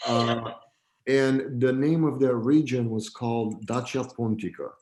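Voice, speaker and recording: A man from Romania, talking as a guest in a relaxed, friendly, joking way over a video call. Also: mid-range voice, measured pace, rough recording. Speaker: guest, gallery founder. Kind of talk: casual video-call interview.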